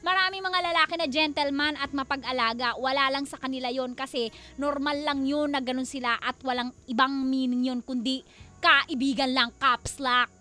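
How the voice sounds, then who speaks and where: Bright, animated, high-voiced Filipino woman radio host. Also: normal speed, faint music behind. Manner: exclamatory, dramatic emphasis, teasing. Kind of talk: casual, playful radio banter.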